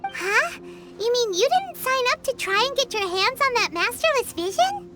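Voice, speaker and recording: Game companion: tiny eager fairy, extremely high squeaky childlike voice, swooping intonation, loud music behind. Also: female voice, sing-song, unhurried, heavily acted. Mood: curious. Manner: speaks of herself in the third person, exclamatory. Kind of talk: video game character acting.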